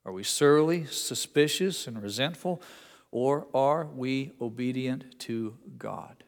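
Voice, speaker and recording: A man's voice: mid-range voice, takes it slowly. A man with an Indiana accent preaching a sermon to his congregation in an earnest, conversational way with folksy asides. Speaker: pastor. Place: church sanctuary. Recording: long reverb of a church hall.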